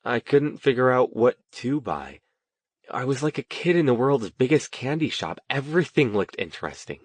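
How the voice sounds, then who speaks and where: A man's voice: medium pitch, sing-song, moderate pace, breathy edge, no room sound, pitched above the performer's own voice. Man voicing an eager young female apprentice. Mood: amazed.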